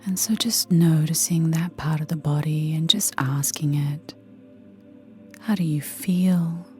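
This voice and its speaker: A woman leading a guided meditation in a soft, breathy near-whisper, gentle and soothing, with unhurried pauses. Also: low voice, steady tone. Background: soft background music.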